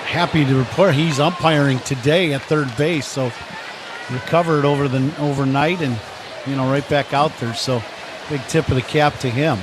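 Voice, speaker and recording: A man's voice: medium pitch, fairly even intonation, normal speed. An American man giving conversational, explanatory color commentary on a live baseball radio broadcast. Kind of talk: live radio game analysis. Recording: crowd ambience noise behind broadcast. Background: ballpark ambience noise.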